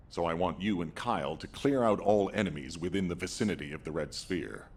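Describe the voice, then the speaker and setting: An actor playing a composed, authoritative military commander in a video game, giving measured orders. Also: male voice. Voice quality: husky, deep. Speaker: actor voicing a game character. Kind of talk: scripted game dialogue.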